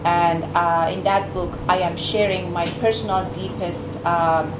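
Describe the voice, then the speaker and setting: Middle-aged woman from Iran speaking to a mothers' group; earnest, halting, lower voice; roomy, noisy recording. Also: fairly even intonation. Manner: earnest, many fillers and restarts. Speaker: guest speaker, therapist. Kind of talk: personal talk to a mothers' group. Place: meeting room, indoors. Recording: echoey room with background noise.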